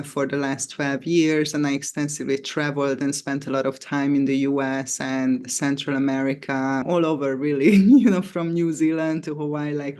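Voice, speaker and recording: A man's voice: on the high side, darkish tone, clean tone. A man in his forties from Hungary, speaking English with a Hungarian accent, hosting a reflective solo podcast with frequent "you know" fillers. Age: 40s. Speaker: podcast host.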